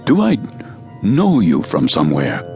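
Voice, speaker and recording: An actor playing a dazed, hesitant ship passenger in a radio drama, speaking politely in halting phrases. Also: male voice, rumbling low, wildly swooping pitch, gravelly, dark tone, soft background music, exaggerated voice. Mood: confused, dazed. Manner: halting, uncertain, formal and polite. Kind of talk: audio drama acting.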